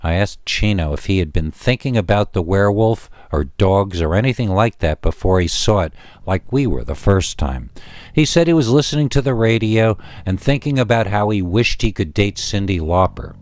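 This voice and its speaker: A man's voice: on the low side, lively intonation, moderate pace, darkish tone, dry recording. A man with an American accent narrating a scripted scary story as a podcast host.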